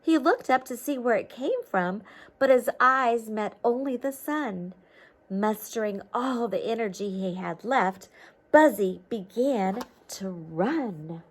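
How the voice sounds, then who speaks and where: A woman's voice: a little high, animated, takes it slowly. A woman reading a picture book aloud to children, adding simple encouraging explanations and checking questions as she goes. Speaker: storybook reader for children. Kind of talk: expressive picture-book read-aloud with chatty asides to young listeners.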